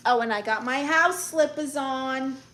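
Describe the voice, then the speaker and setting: A woman from Brooklyn with a thick New York accent, bantering through viewer comments on her live stream. Her voice is bright and sits a little high, and she delivers everything with brash, joking sass. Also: a little slow, a little hiss or hum. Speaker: livestream host. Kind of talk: casual live chat reading comments.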